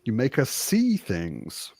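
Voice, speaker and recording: A man's voice: medium pitch, wildly swooping pitch, slow, a little mellow, no echo, clean studio sound. A man talking casually as a participant in a book discussion.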